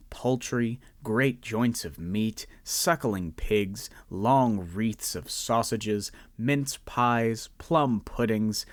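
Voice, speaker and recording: A man narrating a student radio drama, savoring each phrase and slowing down on lists. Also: mid-range voice, dry recording. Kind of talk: scripted story narration.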